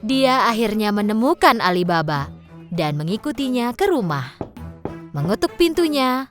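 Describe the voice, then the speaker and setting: A woman's voice: on the high side, big pitch swings, moderate pace. A woman narrating a scripted storybook tale for children. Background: background music.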